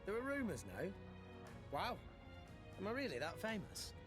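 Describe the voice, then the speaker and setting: A woman's voice: medium pitch, sing-song, moderate pace, music in the background, natural voice. A woman voicing an earnest, plucky teenage boy hero, eager and direct, in a video game scene. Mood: surprised. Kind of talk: video game cutscene acting.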